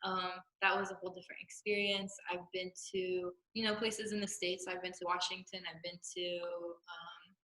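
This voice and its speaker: A woman's voice: lowish voice, flat delivery, moderate pace, hardly any pauses, bright, forceful tone. A young woman from Jordan chatting casually as an interview guest, upbeat and informal, often saying "like". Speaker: podcast guest. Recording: roomy, echoey podcast room.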